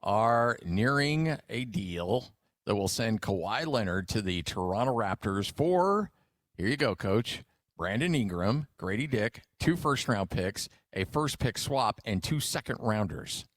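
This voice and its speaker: A man's voice: fairly low pitch, lively intonation, a little slow, dry recording, clean studio sound. An American man co-hosting sports talk radio with casual, informal, conversational banter.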